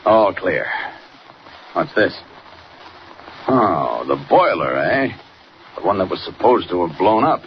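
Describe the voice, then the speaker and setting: A deep-voiced, resolute hero of a 1940s radio serial, thinking out loud with confidence. The recording is dull, with light noise. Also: male voice, big pitch swings, slow pace, long pauses, full character voice. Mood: determined. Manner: musing aloud to himself. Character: confident, resolute male superhero investigating alone. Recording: muffled, noisy 1940 radio recording.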